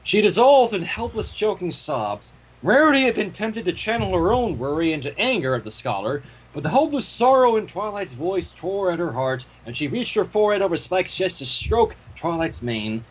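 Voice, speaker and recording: High-pitched, husky man narrating dramatically. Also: big pitch swings, normal speed, hardly any pauses, poor audio. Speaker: fan fiction reader. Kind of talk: dramatic scripted story narration.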